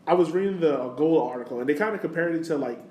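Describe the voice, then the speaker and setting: A man's voice: a little high, very fast, dark tone. A man co-hosting a podcast, chatting casually about sports. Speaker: podcast host. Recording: roomy echo, background noise.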